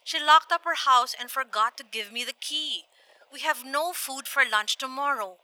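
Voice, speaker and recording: A woman voicing a gentle, worried elderly grandmother in a story, soft and hesitant. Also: high-pitched, moderate pace, no echo, voice pushed up, rough recording. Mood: sad, apologetic.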